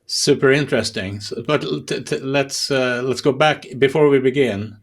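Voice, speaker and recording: Halting male podcast interviewer. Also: mid-range voice. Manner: halting, repeats words as they search for phrasing. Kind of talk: conversational interviewing.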